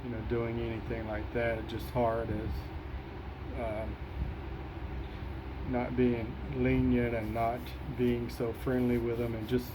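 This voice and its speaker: A middle-aged man with a strong East Texas accent speaks slowly and in a halting way, filling the pauses with ums and uhs. His pitch stays even and level throughout. A noticeable air-conditioning hum runs under the recording. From East Texas, USA. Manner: slow, halting, with many fillers and pauses. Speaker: city senior inspector. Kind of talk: reflective personal recording answering prompts.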